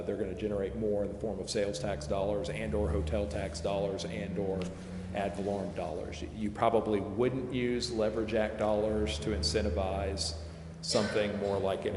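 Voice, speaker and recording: A man explaining funding options to a city committee, pausing and restarting often. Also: lowish voice, background noise. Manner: hesitant, many restarts and fillers. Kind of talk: public meeting explanation. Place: city council chamber. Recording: echoey council chamber from far off.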